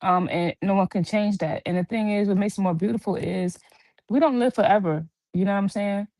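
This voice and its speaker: A woman in her thirties talks in an earnest, reflective way, taking her time over her own story. Her pitch sits at an ordinary middle height for a woman. The sound is dry and close, with no room around it. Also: brisk, mellow, dry recording, rough recording. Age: 30s. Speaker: guest. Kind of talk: casual chat. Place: hospital. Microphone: video call.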